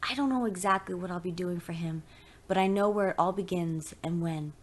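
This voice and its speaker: A woman narrator plays a fervently religious wife in a pulp audio story. She is excited, insistent and utterly determined about her divine calling, her pitch lifting above a low-set register. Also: fairly quick, lightly acted. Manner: enthusiastic, insistent.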